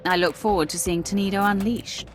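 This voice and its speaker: A woman's voice: mid-range voice, steady tone, fairly quick, faint music behind, lightly acted. An actress playing a commanding female Sith lord in a game, speaking in a cool, clipped, sardonic way. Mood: cool authority. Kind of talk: video game voice acting.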